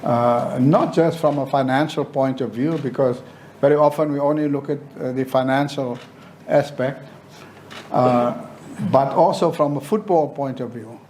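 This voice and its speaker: A man addresses a press conference as its chair. He speaks slowly and deliberately, often pausing mid-sentence. His pitch is average and there is faint background noise. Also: darkish tone. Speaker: football association official chairing a press conference.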